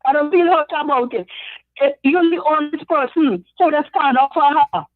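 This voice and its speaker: A woman from Trinidad with a Trinidadian accent, calling in to a talk show and speaking hesitantly with many pauses. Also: sits high, no echo. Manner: hesitant, lots of ums. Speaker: caller. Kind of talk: phone call-in. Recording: phone line.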